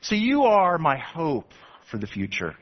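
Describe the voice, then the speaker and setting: A man pastor from Texas preaching an expository sermon to his congregation, animated and building to emphatic passages. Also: on the high side, measured pace. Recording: dull lo-fi sound.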